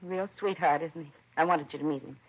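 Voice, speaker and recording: A woman's voice: sits low, brisk, a little hiss or hum. An actress playing an earnest, indignant woman who runs a children's home in a 1950s radio drama, plain-spoken and heartfelt. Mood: indignant, troubled. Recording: dull vintage radio recording.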